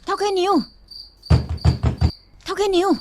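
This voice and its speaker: An actress playing an anxious, hurried young inn maid in a radio drama, with a Taiwanese accent. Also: female voice, extremely high pitch, fairly even intonation, very noisy. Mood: anxious, urgent.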